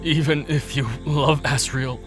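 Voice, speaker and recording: A man playing a tormented, remorseful fighter in love in an audio drama, pleading in a halting, dramatic way. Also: on the high side, steady tone, natural voice. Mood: anguished devotion. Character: Cain, tormented remorseful male fighter in love, self-loathing. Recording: music behind the voice.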